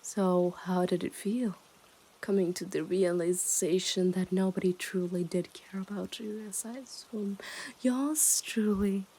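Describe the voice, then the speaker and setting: A woman's voice: mid-range voice, fairly even intonation, unhurried, warm tone, slightly rough, low-quality recording. A woman playing a possessive, smug yandere kidnapper in an intimate ASMR roleplay, teasing and condescending as she often dips into whispers. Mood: gloating.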